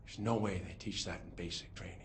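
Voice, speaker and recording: An actor playing a proud military commander giving a measured, matter-of-fact account in a video game audio log. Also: male voice, low-pitched, steady tone, slight background noise. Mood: wistful. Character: proud military commander humbled by street gang foes.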